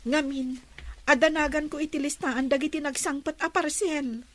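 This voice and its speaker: An actress playing a polite, earnest young daughter in a radio drama. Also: female voice, sits high, steady tone, normal speed, fairly bright tone, dry recording. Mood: troubled.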